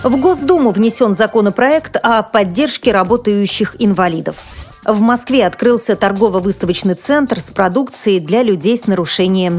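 A woman reads the news as a studio presenter, with mid-range pitch and formal, measured diction. Faint music plays along with her scripted delivery. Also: a little mellow.

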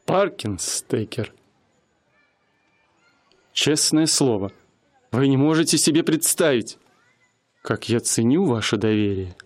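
A man's voice: mid-range voice, expressive, slow pace, long pauses. An actor playing an earnest, humble young man who works as a restaurant cashier, speaking eagerly and politely in a radio play.

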